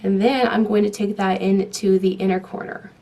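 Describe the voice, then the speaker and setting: A woman's voice: medium pitch, steady tone, normal speed, dark tone. A young woman vlogger, talking through a makeup tutorial in a chatty, enthusiastic way, with her voice a little off from a lingering cold. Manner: chatty, enthusiastic, talks while applying makeup. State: voice affected by a cold. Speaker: beauty vlogger. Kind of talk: casual makeup tutorial talk.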